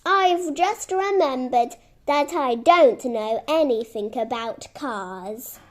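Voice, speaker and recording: Woman as a very slow, polite storybook ferret. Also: high voice, lively intonation. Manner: polite, measured storybook dialogue. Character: helpful, shrewd, good-humoured male ferret.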